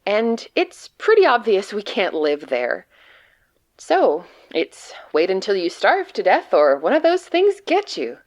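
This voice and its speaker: An actress plays a trapped woman agent in a horror audio drama, frightened and despairing. She speaks slowly and haltingly, confessing with grim sarcasm. Her medium-pitched voice swings widely as she delivers her report. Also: wildly swooping pitch. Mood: grimly sardonic. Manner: halting, confessional, grimly sardonic. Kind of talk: dramatic monologue reading of a written report.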